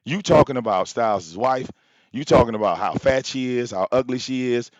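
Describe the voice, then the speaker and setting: A man hosting a live stream, delivering a loud, profane, confrontational rant full of rhetorical questions. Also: mid-range voice, normal speed, dry recording. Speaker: livestream host. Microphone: popping mic. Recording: dull, slightly lo-fi, dry sound.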